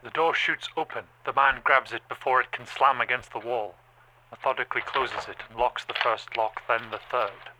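A man's voice: medium pitch, fairly even intonation, moderate pace, background noise, lightly acted. A man playing an anxious, watchful machine observer, narrating an audio drama in clipped, rhythmic phrases. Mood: tense, watchful.

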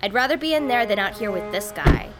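A woman's voice: mid-range voice, lively intonation, a little fast, lightly acted. An actress playing a sarcastic, squeamish young woman who snaps and panics. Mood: snippy. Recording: audio drama with music beneath.

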